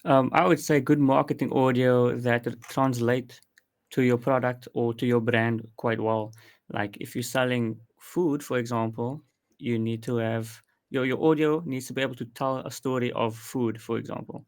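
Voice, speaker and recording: A man's voice: mid-range voice, steady tone, normal speed. A man working as an audio editor, answering interview questions in a plain, matter-of-fact way with some fillers.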